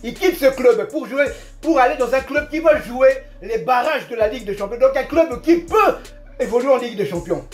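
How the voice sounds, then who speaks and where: A man with a Congolese French accent talking football as a pundit in an animated, casual way, emphatic and repeating his phrases. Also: very high-pitched, fairly quick, soft background music. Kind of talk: animated casual sports discussion.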